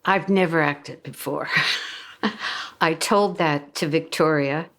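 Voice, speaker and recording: A woman in her eighties talks modestly about taking part in a play. Her voice is notably low for a woman. Her manner stays light and unassuming. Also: slow pace, a bit bright. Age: 80s. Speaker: first-time actor. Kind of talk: documentary interview.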